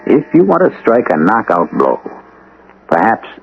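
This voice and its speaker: A man hosting a radio mystery, narrating from a script in a wry, deliberate, theatrical way. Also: fairly low pitch, huge pitch swings, gravelly, lo-fi sound, slight background noise. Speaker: host and narrator. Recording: band-limited old radio broadcast, music behind.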